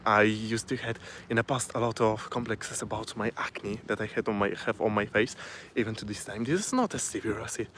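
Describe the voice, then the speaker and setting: A man with a Polish accent, a native Polish speaker, giving a casual live-stream talk from his phone, often pausing and restarting as he searches for words. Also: fairly low pitch, fairly bright tone, a little hiss or hum. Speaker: self-improvement vlogger.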